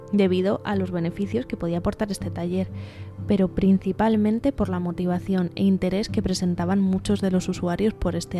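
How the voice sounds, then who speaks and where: Female podcast host from Spain, soft-toned mellow delivery, scripted narration over music. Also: mid-range voice. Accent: Spanish from Spain. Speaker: podcast host and art therapist.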